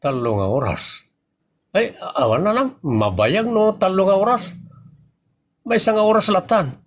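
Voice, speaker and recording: A man with an Ilocano Filipino accent acting a sleepy, sheepish, good-natured husband in a comedy audio drama, protesting in drawn-out exclamations. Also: sits high, animated. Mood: pleading.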